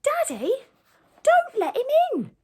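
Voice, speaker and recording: Woman as a shrill, insistent little girl. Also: huge pitch swings, unhurried, heavily acted. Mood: alarmed pleading. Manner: high, yelling, emphatic. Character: insistent little girl, gatekeeper's alarmed daughter.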